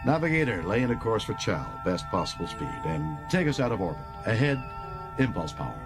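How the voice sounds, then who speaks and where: A man's voice: mid-range voice, sing-song, a little fast, music in the background, voice pushed up. A man playing a confident, commanding older starship captain in a science-fiction audiobook.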